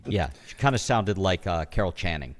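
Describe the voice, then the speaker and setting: A man's voice: sits low, lively intonation, moderate pace. A man in casual banter as a webcast co-host, wry and joking, in a reverberant space. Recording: long reverberant room tail.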